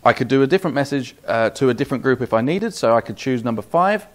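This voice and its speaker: A man's voice: medium pitch, expressive, fast. A man in his forties with a British accent giving a methodical, step-by-step product demonstration. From United Kingdom. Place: small office demo room, indoors.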